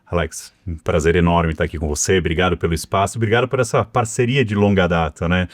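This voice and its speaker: A man's voice: low-pitched, steady tone, fast, dry recording, studio quality. A middle-aged Brazilian man from São Paulo with a São Paulo accent, a podcast guest telling his story fluently and conversationally, tagging his sentences with little asides. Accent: Brazilian Portuguese, São Paulo. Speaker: cybersecurity executive and guest. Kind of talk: podcast interview.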